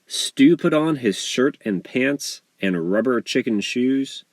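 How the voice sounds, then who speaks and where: A man reading a rhyming children's story aloud as its author, in an expressive, measured storytelling delivery. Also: mid-range voice, no echo. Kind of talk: scripted storybook narration in rhyme.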